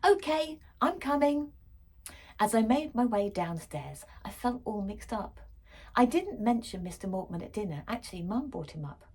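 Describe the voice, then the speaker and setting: A woman's voice: medium pitch, lively intonation, normal speed, a little mellow, husky. A woman reading a children's story aloud expressively, as for a school library.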